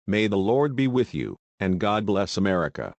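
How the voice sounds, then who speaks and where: A man playing a solemn President, giving a formal, measured national emergency address. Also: on the low side, big pitch swings, gravelly, darkish tone, no echo, muffled recording. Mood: solemn, resolute. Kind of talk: formal scripted crisis address.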